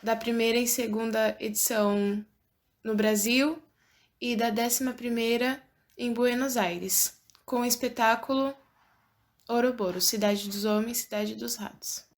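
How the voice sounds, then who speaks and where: A woman's voice: on the high side, steady tone, measured pace. A young woman of eighteen with a Brazilian accent, introducing herself as a theatre participant. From Santo André, Brazil. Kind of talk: self-introduction.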